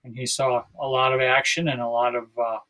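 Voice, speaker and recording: A man's voice: mid-range voice, flat delivery, brisk, sharp tone, rough recording. An American man in his sixties from South Dakota, talking casually and reflectively as a podcast guest, often searching for his words. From South Dakota, United States.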